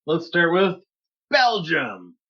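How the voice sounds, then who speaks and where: A man co-hosting a podcast over a remote call, playful in chat and reading a poem dramatically, with his voice dropping low at the ends of lines. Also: wildly swooping pitch, takes it slowly, bright, forceful tone, slightly rough, lo-fi sound. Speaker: podcast co-host.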